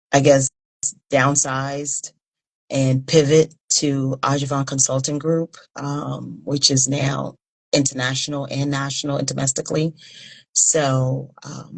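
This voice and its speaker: A woman in her fifties from Liberia, speaking as an interview guest. Her voice is exceptionally low, with a heavy creaky fry. She answers conversationally and earnestly, pausing between phrases. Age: 50s. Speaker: interview guest, humanitarian founder.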